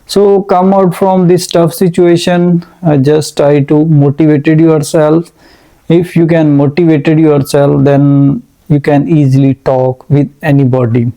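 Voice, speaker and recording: Slow gravelly man teaching. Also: a little high, little pitch movement, warm tone, rough recording. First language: Urdu. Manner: slow, hesitant, many fillers. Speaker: English teacher. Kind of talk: motivational English-speaking lesson.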